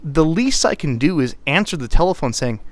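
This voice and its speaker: A man with a low voice, co-hosting an IT podcast, speaking deliberately with fillers and restarts. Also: very animated. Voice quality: low voice, pushed brighter on purpose. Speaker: podcast co-host, IT technician. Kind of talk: casual podcast talk. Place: Minnesota, US.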